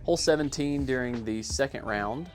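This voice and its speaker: A man giving a casual, self-deprecating voice-over commentary on his own amateur sports highlights in a matter-of-fact way. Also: moderate pace, faint music behind. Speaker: amateur disc golfer narrating own highlights.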